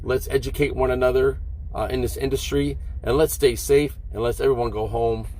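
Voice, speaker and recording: A man's voice: medium pitch, fairly even intonation, brisk, warm tone. A middle-aged American man, a truck driver, talking casually to his vlog viewers in a folksy, rambling, conversational way.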